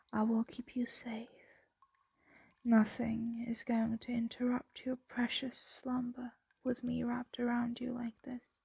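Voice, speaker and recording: A woman voicing a possessive, doting female dragon in an ASMR roleplay, soothing and teasing in a breathy, intimate whisper. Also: a little high, warm tone, no room sound, exaggerated voice.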